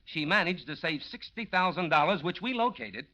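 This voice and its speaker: Bright-voiced, hard-nosed radio police inspector. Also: male voice, on the high side, lively intonation, normal speed. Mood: stern, insistent. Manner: blunt, insistent, official questioning. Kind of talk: 1940s radio acting. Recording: dull old radio recording.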